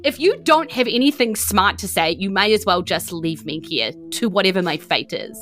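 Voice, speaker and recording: A woman voicing a bitter, injured male garment designer in a tabletop game, defensive and halting as he speaks. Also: mid-range voice, expressive, fairly bright tone, lightly acted. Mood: bitter, grieving.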